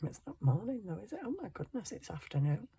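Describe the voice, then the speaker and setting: A hoarse middle-aged woman chatting casually to herself while crafting, muttering and poking fun at herself. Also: medium pitch, very animated, fast talker, dark tone. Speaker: craft channel host.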